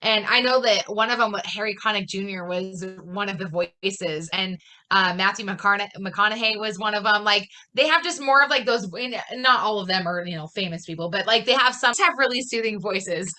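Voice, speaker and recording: A woman's voice: medium pitch, normal speed, few pauses, sharp tone. A woman in casual conversation, explaining things in an informal, rambling way. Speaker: podcast host. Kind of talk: casual chat.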